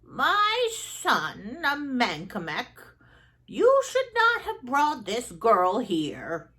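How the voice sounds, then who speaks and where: A woman voicing a disapproving old mother from a serpent people in a storybook, scolding and deliberate. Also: fairly high pitch, huge pitch swings, pushed and bright, heavily acted.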